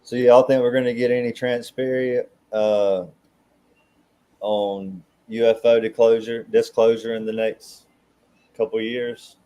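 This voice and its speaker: A man's voice: mid-range voice, level tone, measured pace, mellow. A man in casual podcast chat who often repeats and restarts his words.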